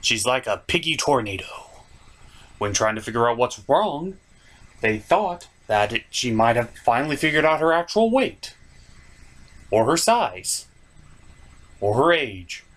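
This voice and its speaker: A man's voice: medium pitch, big pitch swings, unhurried, pauses often, fairly bright tone. A man hosting a scripted comedic review with skits, with a theatrical, exasperated delivery. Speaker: comic reviewer and host.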